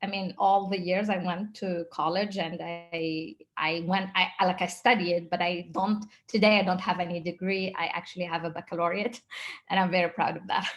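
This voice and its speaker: A woman's voice: on the low side, steady tone, normal speed. Tunisian-accented woman telling her story. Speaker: startup founder, interview guest. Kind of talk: informal personal storytelling in an interview.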